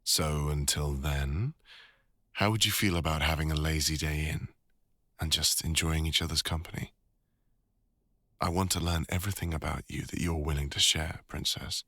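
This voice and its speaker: Very deep British older boyfriend, played by a man. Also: normal speed, pushed and bright, dry recording, clean studio sound, exaggerated voice. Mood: affectionate, gentle. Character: devoted, teasing older boyfriend, a father of a grown son. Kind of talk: boyfriend ASMR audio roleplay.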